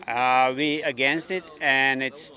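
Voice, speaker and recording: A man giving his view in an unscripted street interview, speaking haltingly with grammar. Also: medium pitch, steady tone, sharp tone, poor audio. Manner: halting, non-native grammar. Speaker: rally participant giving an opinion. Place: outdoors at a city rally in Canada.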